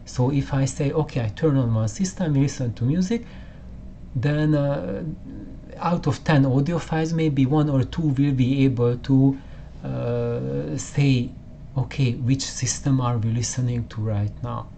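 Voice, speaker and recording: A man hosting a home audio channel, explaining casually in a halting way with many restarts, in a room with poor acoustics. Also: medium pitch, steady tone, creaky, mellow, slight background noise. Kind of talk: casual explanatory talk to camera.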